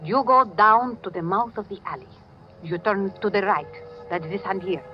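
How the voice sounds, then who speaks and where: An actress playing a tough, tender, world-weary widow in an old-time radio drama, speaking broken English with a Spanish Latin American accent. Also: female voice, medium pitch, moderate pace, noisy background, natural voice. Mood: matter-of-fact. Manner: plain-spoken, bossy yet melancholy, in broken English. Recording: dull, lo-fi vintage radio recording.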